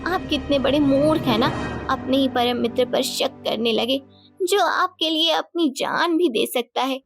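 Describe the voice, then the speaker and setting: High-voiced vengeful queen, acted by a woman. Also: unhurried, creaky. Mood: cold, mocking. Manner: accusing, theatrical. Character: vengeful, scheming young queen, cold and mocking. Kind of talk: dramatic Hindi moral-story cartoon dialogue. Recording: loud music bed behind voice.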